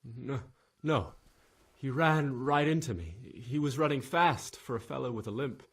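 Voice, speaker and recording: Scared stable boy, husky voice, nervous stammer, halting delivery, guilt-ridden, video game drama. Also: medium pitch. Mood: shaken, haunted. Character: frightened, guilt-ridden young stable lad. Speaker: video game character, witness being questioned. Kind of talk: dramatic game cutscene acting.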